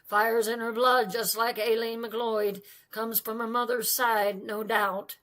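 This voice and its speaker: A woman voicing a hearty older uncle who booms and teases. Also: level tone, slow pace, a bit bright. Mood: jovial, teasing. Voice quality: booming, hearty. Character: booming jovial older Scottish uncle with pipe.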